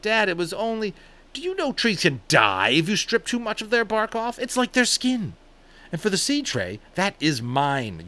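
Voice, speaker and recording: A man voicing a quick-tempered father who scolds his son with sharp questions. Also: a little high, voice pushed up. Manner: sharp interrogating, loud.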